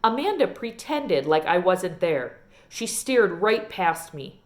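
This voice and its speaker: Animated woman reading a story aloud. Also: lowish voice, takes it slowly. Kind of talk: expressive children's novel narration.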